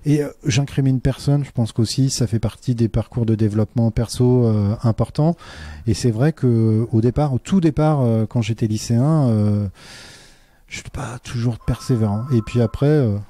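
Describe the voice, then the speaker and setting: A man's voice: mid-range voice, normal speed, mellow, dry recording. A man, a teacher, talking earnestly in a casual interview, with many fillers and restarts. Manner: earnest and reflective.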